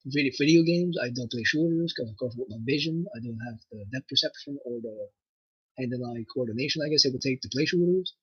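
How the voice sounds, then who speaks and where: A young man with a French Canadian accent chats on his livestream, rambling and relaxed. His voice sounds bright and slightly husky. The dry, dull home mic gives it a lo-fi feel. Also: medium pitch, fast, dry recording. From Nova Scotia, Canada. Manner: rambling, relaxed, with frequent fillers. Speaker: livestream host. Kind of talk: casual livestream chat.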